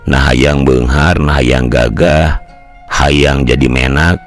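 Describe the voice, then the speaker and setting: A man's voice: very deep voice, fairly even intonation, slow, faint music behind. An older man with a West Javan Sundanese accent, telling a folk tale in a colloquial, conversational way. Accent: Sundanese-speaking West Java. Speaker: storyteller. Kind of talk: traditional folk tale narration with acted dialogue.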